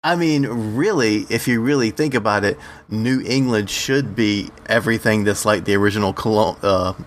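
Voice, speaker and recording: Low-voiced man in a casual podcast chat. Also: moderate pace, slight background noise. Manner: loose, swearing, chatty. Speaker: podcast co-host.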